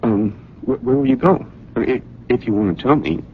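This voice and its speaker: An actor playing an earnest, lovesick suitor in a radio drama, halting and emotional as he presses with anxious questions. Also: mid-range voice, wildly swooping pitch, vocal fry, slightly rough, heavily acted. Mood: eager, unsettled. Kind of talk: old radio drama acting. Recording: dull old radio broadcast.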